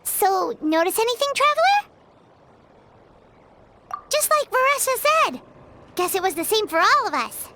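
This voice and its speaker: A woman voicing a tiny, cheeky, excitable girl fairy companion in a video game, brash and quick to take offense. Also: very high voice, very noisy, heavily acted. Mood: chirpy. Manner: brash, indignant, speaks of herself in third person. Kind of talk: video game character acting.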